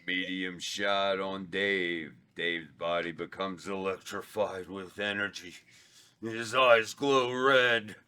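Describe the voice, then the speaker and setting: A man reading stage directions aloud at a table read, slow and a little halting. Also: sits low, smooth. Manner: slow, flat, stumbles over words. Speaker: script reader of stage directions.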